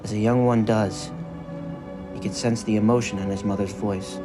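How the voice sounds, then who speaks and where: A man's voice: fairly low pitch, steady tone, moderate pace. A man narrating a scripted story for an audio drama. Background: music.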